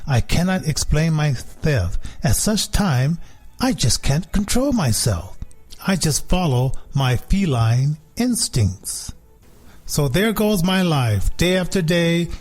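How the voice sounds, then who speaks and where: A man reading a children's storybook as a sly, contented big house cat, in a measured, deliberate storytelling way. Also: fairly high pitch, very animated, a little mellow, faint music behind, heavily acted.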